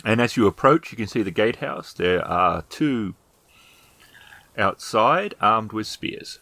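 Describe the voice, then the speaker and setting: A man acts as storyteller for a tabletop game in a very low voice. He speaks slowly and deliberately, setting out each scene with care. The sound is dry and close. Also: expressive, pushed and bright, no echo. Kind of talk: narrating a tabletop role-playing game.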